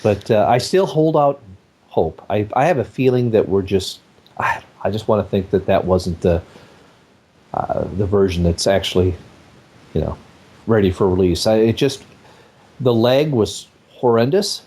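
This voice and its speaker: A man's voice: fairly low pitch, unhurried, a little mellow, slightly rough. A man hosting a casual gaming podcast, rambling on at length with plenty of fillers and restarts. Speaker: podcast host.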